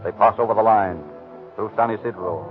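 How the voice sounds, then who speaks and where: A man's voice: lowish voice, fairly quick, narrow, tinny audio, over music. A man with an American accent giving formal, dramatic scripted narration in the style of 1930s radio. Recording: thin, band-limited 1930s radio broadcast.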